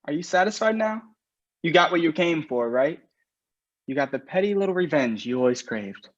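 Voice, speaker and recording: A man's voice: on the high side, expressive, normal speed, lightly acted. A man reading the part of a sarcastic groom on his wedding day in a staged play reading over a video call. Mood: angry. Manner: sharp, sarcastic, swears often. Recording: muffled video call audio.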